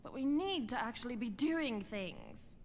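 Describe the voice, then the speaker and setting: Sneering, restless dark witch. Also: fairly high pitch, lively intonation, unhurried, big-room sound, slight background noise. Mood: scornful. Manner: sneering, impatient. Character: restless, disdainful villainous dark witch, Bellatrix. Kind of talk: fantasy audio drama acting.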